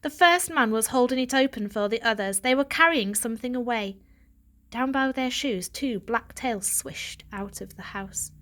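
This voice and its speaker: A woman narrating a children's story aloud with an expressive, dramatic delivery. Her voice sits fairly high. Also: moderate pace. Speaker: audiobook reader.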